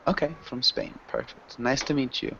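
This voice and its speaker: A man's voice: mid-range voice, steady tone, moderate pace, crowd noise. Male teacher, online class, encouraging and patient, slightly muffled call audio. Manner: encouraging, corrects grammar patiently. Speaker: English teacher.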